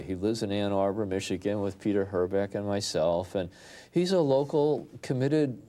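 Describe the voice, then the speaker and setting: Low, mellow American man hosting. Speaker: talk show host. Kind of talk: conversational interview hosting.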